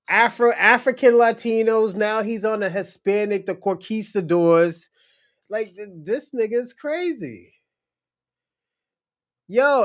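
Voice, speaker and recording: Guy on a livestream reacting to a clip, loud and laughing, slangy, kinda high voice. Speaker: livestream host. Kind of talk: casual reaction commentary.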